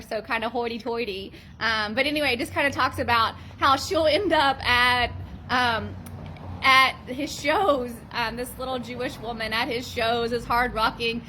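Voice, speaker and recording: A woman's voice: on the high side, bright, forceful tone, slight background noise. A middle-aged woman who works as a teacher and librarian, chatting earnestly about a book with many ums. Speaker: teacher and school librarian reviewing books. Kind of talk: casual book review vlog.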